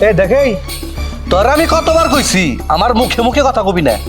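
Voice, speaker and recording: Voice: medium pitch, big pitch swings, fairly quick, loud music behind the voice, lightly acted. An actor with a Bangladeshi accent playing a hot-tempered husband who bullies his wife in a family drama. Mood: angry, threatening. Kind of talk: Bengali family drama acting.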